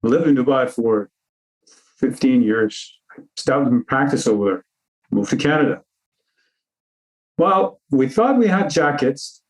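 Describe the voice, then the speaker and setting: A man from Iran speaks as a guest on a video call. His voice sits in a middle register, and he explains things in a measured way, laying out terms one after another. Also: medium pitch, expressive, rough recording. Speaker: cybersecurity consultant guest. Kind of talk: interview answers over video call.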